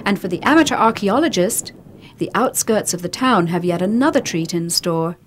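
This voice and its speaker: A woman reading scripted travel documentary narration in a measured, descriptive way. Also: medium pitch, animated, slight background noise. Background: background music.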